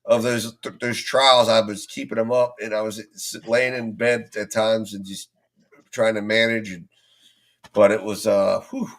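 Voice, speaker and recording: A man hosts a casual stream while getting over the flu, his low voice rough and gravelly. He rambles and restarts, his hoarseness plain. He sounds worn down. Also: flat delivery, a little slow, a bit bright. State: recovering from flu, losing his voice. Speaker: host. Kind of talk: casual livestream chat.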